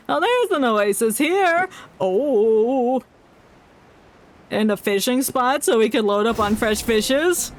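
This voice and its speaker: A woman game streamer giving casual, joking, irreverent live commentary. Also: fairly high pitch. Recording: faint game music behind. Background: video game music.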